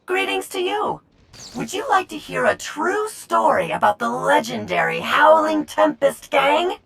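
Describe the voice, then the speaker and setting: High-pitched voice, excitable servant herald, very animated, effusive and formal, video game. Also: female voice, measured pace, vocal fry, heavily acted. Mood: eager, deferential. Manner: effusive, formal, flowery speech. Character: chirpy, polite, excitable servant herald spreading gossip of a legend.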